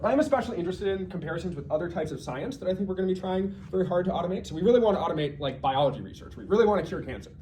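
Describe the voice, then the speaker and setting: Guy doing a casual research talk, talks fast, kinda thinking out loud, bit of noise in the background. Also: high voice, expressive, poor audio. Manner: conversational, thinking aloud with self-corrections and asides. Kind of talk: informal research lecture.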